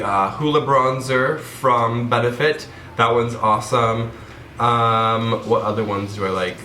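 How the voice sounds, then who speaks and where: A man's voice: mid-range voice, sharp tone. Stuffy-nosed young man in an echoey room. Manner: chatty, rambling, many fillers. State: stuffed up from a cold. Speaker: makeup vlogger. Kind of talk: casual tutorial talk. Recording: roomy echo.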